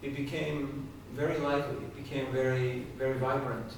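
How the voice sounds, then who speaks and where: Gravelly man lecturing in an echoey hall. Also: mid-range voice, flat delivery, moderate pace. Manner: conversational, addresses listeners directly with questions. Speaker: spiritual speaker. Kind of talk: devotional talk to a live audience. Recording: echoey hall, distant mic, faint noise.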